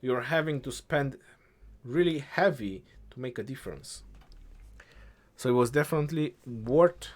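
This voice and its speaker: A man's voice: mid-range voice, measured pace, long pauses. Laid-back man streamer with faint music. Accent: Eastern European. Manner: relaxed, thinking aloud with restarts. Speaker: game streamer. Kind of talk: casual live-stream Q&A reading chat.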